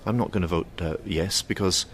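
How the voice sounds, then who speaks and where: A man's voice: sits low, brisk. A man giving explanatory analysis in an interview. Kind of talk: explanatory interview talk with acted-out quotes. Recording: faint background ambient noise.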